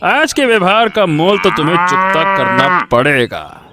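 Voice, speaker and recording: A man playing a spoiled, menacing young bully from a rich family in a story, taunting with drawn-out words. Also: high-pitched, very animated, bright, forceful tone, slight background noise, exaggerated voice. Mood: menacing, smug. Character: menacing, mocking young village bully, rich merchant's son. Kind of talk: Hindi audio story dramatic acting.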